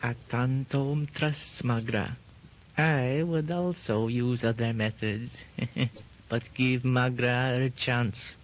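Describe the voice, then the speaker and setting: An actor playing a cold, scheming henchman in a 1930s radio drama, measured and sly. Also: mid-range voice, steady tone, lightly acted. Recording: dull lo-fi 1930s radio recording with faint hiss.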